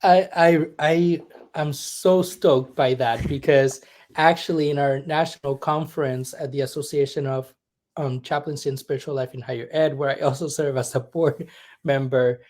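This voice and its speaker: Reflective chaplain from Puerto Rico. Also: male voice, a little high, fairly even intonation, moderate pace, darkish tone. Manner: reflective, searching, many ums and "right?". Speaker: humanist chaplain, guest. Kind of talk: conversational interview.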